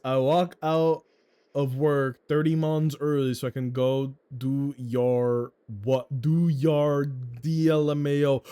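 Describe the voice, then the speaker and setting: A young man with a naturally loud voice chats his way through a game stream. He rambles, swears freely and pokes fun at himself, in an ordinary mid-range pitch. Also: clear, resonant tone. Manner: rambling, profane, self-mocking. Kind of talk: casual live-stream chat while gaming.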